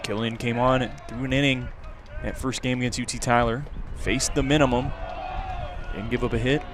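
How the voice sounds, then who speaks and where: A man's voice: medium pitch, steady tone, moderate pace, a crowd in the background. A man giving live play-by-play commentary as a baseball radio broadcaster. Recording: clear background noise. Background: outdoor ballpark ambience.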